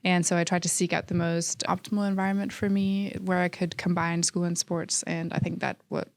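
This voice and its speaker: A young woman from Denmark, a college athlete, answering interview questions in an earnest, measured way. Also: lowish voice, flat delivery, no room sound. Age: young adult.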